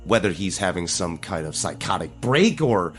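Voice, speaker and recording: A man's voice: low voice, sing-song, normal speed, faint music behind. An actor playing a protective, decisive man in an audio drama, earnest and urgent. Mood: worried, determined. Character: protective, decisive man covering for his troubled cousin.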